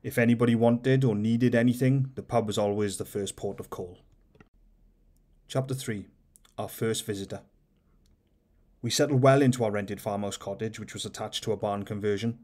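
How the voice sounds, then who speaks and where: A man hosting his own channel, reading a book of light-hearted short stories aloud in a steady, measured way. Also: lowish voice. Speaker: audiobook reader and channel host.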